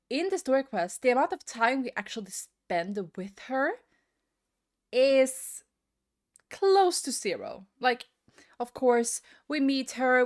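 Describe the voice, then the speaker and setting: Woman ranting casually, halting and emphatic. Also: fairly high pitch. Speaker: video creator giving opinion rant. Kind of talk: casual opinion rant to viewers.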